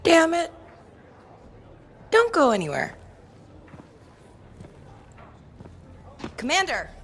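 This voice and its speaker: An actress playing a feisty, impulsive young female city guard in a video game, brisk and eager. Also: extremely high pitch, wildly swooping pitch, a bit bright, exaggerated voice. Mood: flustered. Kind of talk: video game cutscene acting. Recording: faint background music.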